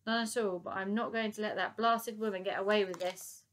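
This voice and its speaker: A woman's voice: medium pitch, steady tone, a little fast, slight background noise, lightly acted. A woman voicing a stubborn wounded man with a wooden leg who answers in a dry, unflustered manner.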